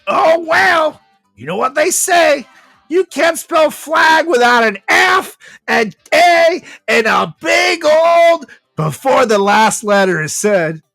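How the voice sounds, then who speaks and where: A man voicing an angry, belittling old grandmother who shouts insults in a comedy story. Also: extremely high pitch, lively intonation, takes it slowly, creaky, dry recording, faint music behind, pitched above the performer's own voice, exaggerated voice. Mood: angry, mocking.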